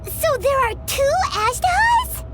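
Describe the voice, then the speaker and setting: Tiny, piercingly squeaky companion. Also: female voice, sing-song, slow, background noise, full character voice. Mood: astonished. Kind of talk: video game voice acting. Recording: loud music behind the voice.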